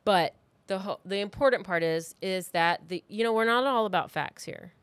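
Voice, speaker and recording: A woman's voice: mid-range voice, moderate pace, dry recording. A woman in her thirties hosting a podcast, telling a true crime story in a casual, loosely worded conversational way. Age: 30s. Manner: informal, loosely worded, acts out reported remarks.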